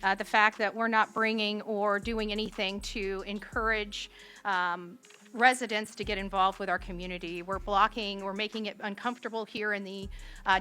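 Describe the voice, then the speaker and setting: A woman activist giving informal, halting public comment at a government meeting, pausing often. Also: fairly high pitch, bright, forceful tone. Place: county meeting room. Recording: music behind the voice. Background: music.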